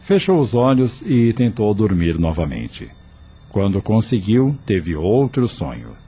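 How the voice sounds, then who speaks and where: A man's voice: fairly low pitch, sing-song, a little slow, dark tone, breathy edge. A man narrating a scripted radio drama as its storyteller.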